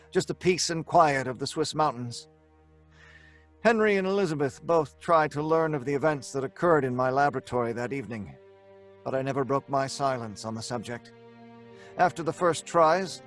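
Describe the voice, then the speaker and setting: A man's voice: a little high, moderate pace, soft background music, lightly acted. Man acting a haunted, melodramatic radio-play scientist. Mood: grave, haunted. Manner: melodramatic, stammering when speaking in dialogue. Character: haunted, frantic, evasive scientist telling his own tale. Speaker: narrator and lead actor. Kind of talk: old-time radio horror acting.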